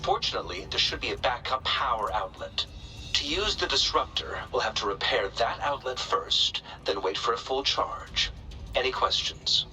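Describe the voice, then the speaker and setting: A man's voice: mid-range voice, expressive, normal speed, pushed and bright, soft background music, rough recording. A man playing a pragmatic, dryly skeptical weapons specialist in a game cutscene, explaining things in clipped, matter-of-fact phrases. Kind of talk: scripted video game cutscene acting.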